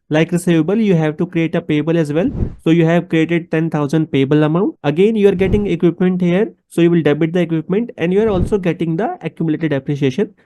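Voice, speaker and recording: Indian-accented man teaching, mellow higher-pitched voice. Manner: didactic, step-by-step, addressing the listener directly. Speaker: accounting instructor. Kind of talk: explanatory tutorial with rhetorical questions.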